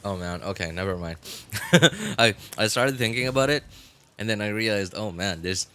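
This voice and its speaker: A man livestreaming games in casual chat, talking in a halting, rambling way and often repeating himself. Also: on the low side, pushed and bright. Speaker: live game streamer. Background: music.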